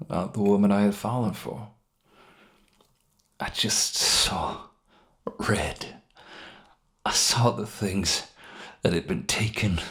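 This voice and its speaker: Man acting gravelly, grieving wounded criminal, slow. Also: fairly low pitch. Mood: grieving, bitter. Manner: halting, rueful, with sighs and bitter weak laughs. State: pained, voice strained with emotion. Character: wounded, guilt-ridden criminal mourning his dead brother. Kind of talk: dramatic monologue in a narrated story.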